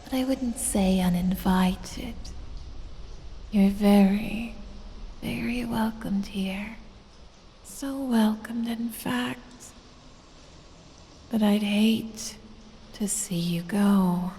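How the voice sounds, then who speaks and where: A woman voicing a sultry, predatory lamia who teases and coaxes with quiet menace in a breathy, whispery ASMR roleplay, drawing out her words seductively. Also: slight background noise. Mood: coaxing, teasing. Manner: slow, drawn-out, seductive teasing with low chuckles. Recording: long cavernous reverb.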